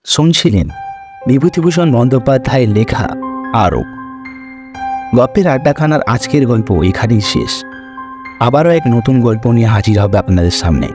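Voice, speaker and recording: A man's voice: medium pitch, animated, normal speed, long pauses. A man presenting in a scripted style for a story channel. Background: music.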